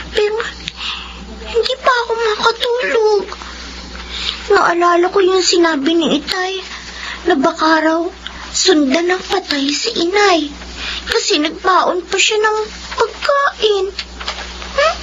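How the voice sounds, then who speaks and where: An actress playing a timid, easily frightened little daughter in a Filipino radio drama. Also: female voice, very high voice, takes it slowly, pauses often, fairly bright tone, exaggerated voice. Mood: nervous. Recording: dull, noisy lo-fi radio.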